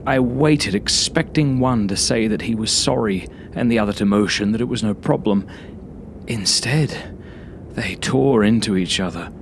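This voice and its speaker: A man narrating a first-person horror story like a journal, brooding and sometimes hushed, in short halting phrases. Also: lowish voice, slight background noise. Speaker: author reading own horror story.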